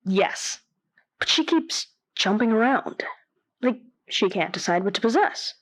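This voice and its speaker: A woman playing a flustered, apologetic caller to a radio advice show in an audio drama, talking in a nervous, halting way. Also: fairly high pitch, lively intonation. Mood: flustered, exasperated. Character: flustered, apologetic young woman with a ghost girlfriend. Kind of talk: naturalistic audio drama phone-in.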